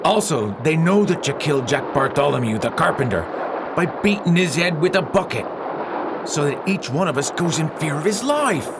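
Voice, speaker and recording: An actor playing a rough pirate crewman with plain sailor talk in an audio drama. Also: big pitch swings, moderate pace. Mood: accusing. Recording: very noisy audio with heavy rumble.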